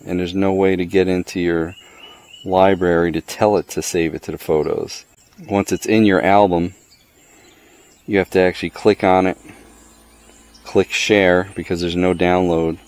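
Low-voiced man, casual gadget reviewer. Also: darkish tone. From the Americas. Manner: plainspoken, matter-of-fact, clipped phrases. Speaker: product reviewer. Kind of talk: casual hands-on app walkthrough. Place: outdoors under a canopy in a wooded yard.

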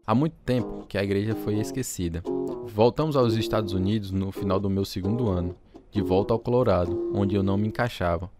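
Male narrator over clear guitar music. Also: on the low side. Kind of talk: scripted dramatised first-person narration.

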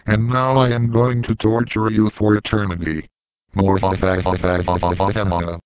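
A low, dry synthetic man's voice as a sentient computer villain in a comedy skit. It delivers taunting threats in a clean, deadpan robotic way. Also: normal speed, smooth, no room sound, lightly acted, rough recording. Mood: menacing. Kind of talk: scripted homemade comedy skit.

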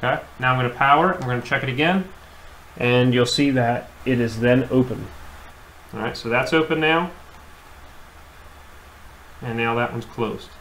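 A man, an HVAC technician, demonstrates hands-on at a workbench, in a voice of middle pitch. He explains plainly and circles back with fillers. The recording has the echo of a bare room and a faint background hum. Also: moderate pace, pauses often, fairly bright tone.

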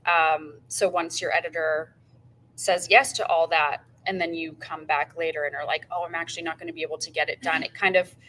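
A woman's voice: deep voice, steady tone, a little fast, a bit bright, a little hiss or hum, poor audio. A young woman, a magazine editor on a panel, giving practical dos and don'ts with frequent ums, in a meeting room heard from a camera across the room. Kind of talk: panel advice talk.

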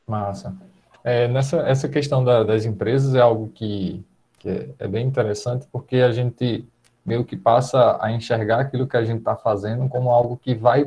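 A man's voice: mid-range voice, warm tone. A man in his thirties with a Northeastern Brazilian accent, chatting informally and agreeably as the host of a video call. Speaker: host, interviewer. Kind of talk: casual conversation. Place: small indoor room, video call. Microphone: earphones on video call.

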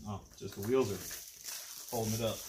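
A man's voice: on the low side, steady tone, a little fast. A man in his thirties chatting casually and unscripted in a laid-back way, sometimes muttering to himself as he works. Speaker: woodworker unboxing and reviewing a tool chest. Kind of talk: casual unscripted vlog chat. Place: indoor workshop with shelving and lumber. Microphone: phone camera, at arm's length, then a few feet away. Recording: background noise and clicks, handling sounds.

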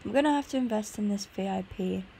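A woman's voice: medium pitch, fast, creaky, mellow, a little hiss or hum. A tired woman with a congested sore throat gives an informal, meandering tour commentary on a video game. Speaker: gaming video host. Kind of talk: casual gameplay tour commentary.

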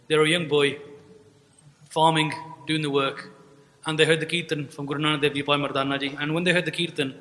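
Young guy telling a story at a podium, quick and bright, long hall echo. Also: a little high. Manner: earnest storytelling, acts out quoted dialogue. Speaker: speaker at a gurdwara event. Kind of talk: religious talk. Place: large hall, indoors. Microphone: podium mic on a stand.

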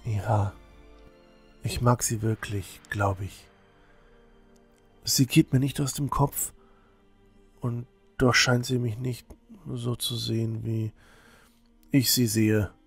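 A man as a slow, hesitant village youth. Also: mid-range voice, fairly even intonation, breathy edge, soft background music. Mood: unsure, shy. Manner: hesitant, stammering, earnest. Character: earnest, shy teenage village boy, lovesick and unsure. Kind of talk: amateur fantasy audio drama acting.

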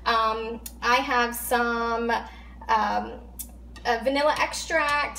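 A woman's voice: on the high side, a bit bright. A woman in her thirties hosting a casual cooking vlog in her home kitchen, chatty and upbeat, with frequent ums as she shows her food. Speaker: vlog host. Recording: echoey kitchen, faint hum.

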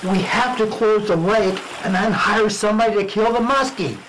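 A man's voice: high voice, moderate pace, background noise, close to an everyday voice. A man playing an exasperated small-town police chief in a spoof radio drama, urgent and exclaiming, with music underneath. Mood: insistent. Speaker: amateur radio drama actor.

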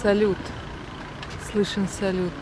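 A woman vlog host narrating a relaxed walking tour to her viewers, outdoors at night on a handheld camera. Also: medium pitch, slow. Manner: relaxed guide-like commentary, speaking to viewers as friends. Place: outdoors on a city street at night. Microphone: handheld action camera. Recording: street noise behind.

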